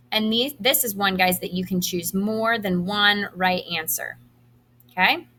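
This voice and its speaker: A bright, crisp-edged voice from a woman who teaches high school. She reads questions and answer options aloud in an instructional way, setting out each item deliberately. Her pitch sits in an average range for a woman. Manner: instructional, enunciates quiz items deliberately. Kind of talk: reading quiz questions aloud to students, with brief instructions.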